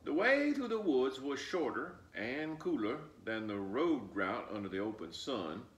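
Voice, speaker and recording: A man reads a children's story aloud. His delivery is slow and very expressive, with asides to young listeners. The room echoes and he sits back from the mic. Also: mid-range voice. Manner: animated, pauses to point things out. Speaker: storybook reader. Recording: roomy echo, distant mic.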